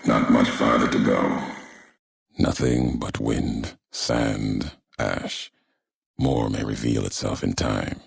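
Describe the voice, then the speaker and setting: A brooding, philosophical wasteland wanderer from a video game, vengeful and solemn. His voice is heavily gravelled and very husky, and he delivers clipped, cryptic lines at a slow, weighty pace. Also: extremely low pitch, no room sound, exaggerated voice. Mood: grave, challenging. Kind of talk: video game voice acting, slow portentous monologue.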